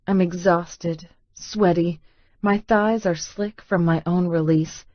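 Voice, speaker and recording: Slow-paced woman narrating a romance audiobook. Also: medium pitch, dry recording, dull audio.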